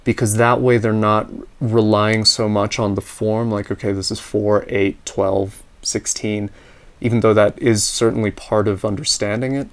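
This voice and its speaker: A man with a slightly raspy voice, teaching ear training: he explains in a relaxed, practical way and sings solfege scales and intervals. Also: on the low side, normal speed. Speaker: music teacher. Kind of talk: instructional talk with sung solfege drills.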